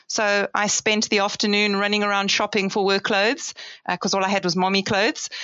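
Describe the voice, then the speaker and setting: A woman's voice: medium pitch, bright, forceful tone, no echo. South African woman, dull dry remote line. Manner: chatty, self-deprecating, laughs easily. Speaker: guest, marketing director. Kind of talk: casual interview talk.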